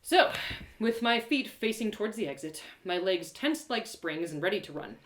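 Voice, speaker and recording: A woman narrating a first-person story in an animated, theatrical way, with dramatic pauses. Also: mid-range voice, echoey room, slight background noise. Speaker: storyteller performing an audio drama live.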